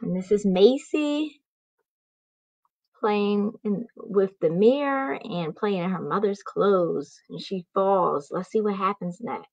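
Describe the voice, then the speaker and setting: A woman's voice: medium pitch, takes it slowly, darkish tone, a little hiss or hum, rough recording. A woman in her thirties, a children's author reading expressively on a video call from a small room. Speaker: children's author, guest reader. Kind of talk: storybook read-aloud.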